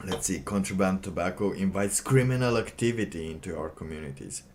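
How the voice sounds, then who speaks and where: A man doing casual livestream commentary, reading aloud and reacting in a halting, sardonic way, often repeating his phrases. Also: low-pitched, a little hiss or hum. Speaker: livestream host.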